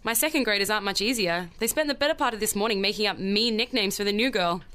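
A woman's voice: medium pitch, quick pace, bright, forceful tone, clean tone, lightly acted. A woman playing a confident, persuasive schoolteacher in a noir radio play, with a casual, coaxing manner. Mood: wry, friendly.

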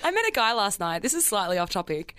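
A woman with a crisp, clear voice at an average pitch co-hosts a podcast. She chats casually and comically, teasing and wandering off on playful tangents. The sound is dry. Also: very animated, fast talker, fairly bright tone, no echo. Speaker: podcast co-host. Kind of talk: casual comedic chat.

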